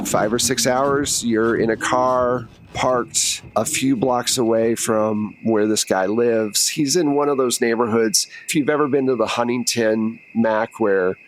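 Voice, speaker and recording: A man's voice: mid-range voice, measured pace, few pauses, poor audio. A man narrating a tabletop roleplaying game as game master in a casual, conversational, improvised way.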